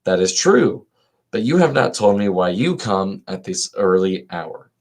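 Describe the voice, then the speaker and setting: A man reading the part of a calm old philosopher resigned to death, composed, formal and questioning. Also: sits low, unhurried, full character voice.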